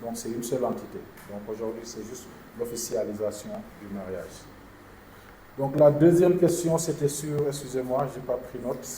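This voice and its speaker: A man, an insurance executive, answering journalists' questions at a press conference in a measured, formal way. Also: medium pitch, steady tone, dark tone. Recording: echoey room with background noise.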